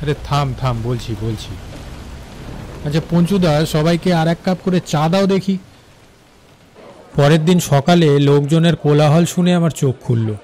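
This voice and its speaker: A man playing a shaken railway officer who recounts a ghostly encounter in an animated horror story, with suspenseful, halting delivery. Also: on the high side, close to an everyday voice, rough recording. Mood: reassuring, then grave. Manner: suspenseful, halting, repeats words for effect.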